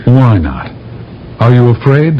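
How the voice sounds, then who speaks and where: A man's voice: mid-range voice, very animated, unhurried. An actor playing a persuasive astronaut from Earth in a 1970s radio drama, insistent and theatrical in his delivery. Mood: urgent, explaining. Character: bold, persuasive male astronaut envoy from Earth. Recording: dull, noisy old radio broadcast recording.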